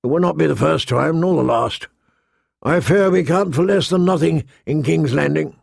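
A man voicing a gruff, grumbling old male military commander who sounds weary and disdainful. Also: fairly high pitch, normal speed, a little mellow, dry recording, studio quality.

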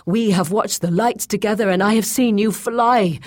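A woman's voice: on the low side, animated, moderate pace, breathy edge, voice pushed up. A woman voicing a proud, passionate male shapeshifter in a fantasy story, pleading with urgent intensity. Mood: eager pleading. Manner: urgent, imploring, intense delivery.

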